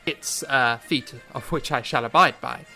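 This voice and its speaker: Gravelly, indignant young man, acted by a man. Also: mid-range voice, a little slow, sharp tone, soft background music, full character voice. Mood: stiff, restrained. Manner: formal, wordy period speech. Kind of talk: audio drama period acting.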